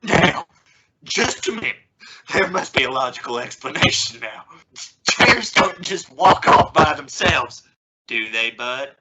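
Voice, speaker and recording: A woman's voice: a bass voice, very animated, a little slow, heavily acted. A young woman playing an exasperated, stammering father trying to sound reasonable in an old-time radio family comedy. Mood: strained reason. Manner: stammering, sputtering. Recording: noisy recording with clicks.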